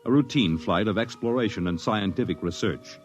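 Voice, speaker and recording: An American man narrating a 1950s radio drama in a portentous, measured, declamatory style. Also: lowish voice, muffled recording. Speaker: announcer and narrator. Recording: vintage radio recording over dramatic music. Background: loud dramatic music.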